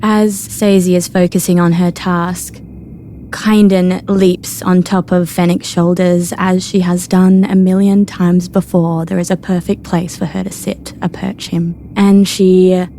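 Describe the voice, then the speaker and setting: A woman with a dark, mellow voice narrates her part in a tabletop game. She takes her time with each description, over faint noise. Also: lowish voice, level tone, slow. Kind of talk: descriptive storytelling.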